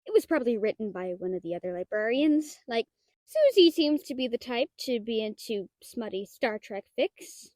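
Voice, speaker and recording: A woman's voice: a little high, a little mellow, no echo, lightly acted. A woman playing a shy, nerdy half-dragon librarian in a roleplay audio drama, talking in a hesitant, flustered, stammering way. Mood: sheepish, evasive. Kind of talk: roleplay audio drama, one-sided dialogue.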